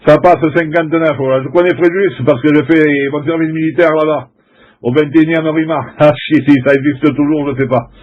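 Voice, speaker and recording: A middle-aged French man, a CB radio operator, chatting casually in a halting, colloquial way and repeating his phrases. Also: on the high side, steady tone, lo-fi sound. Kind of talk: casual CB radio contact chat.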